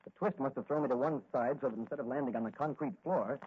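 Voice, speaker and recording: A man's voice: medium pitch, fast, slight background noise, lightly acted. An actor playing a confident, hearty young-hero patrolman in an earnest 1940s radio acting style. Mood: confident, breezy. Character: confident, cheerful heroic male patrolman crime-fighter. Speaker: lead actor in radio serial. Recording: muffled lo-fi vintage radio recording.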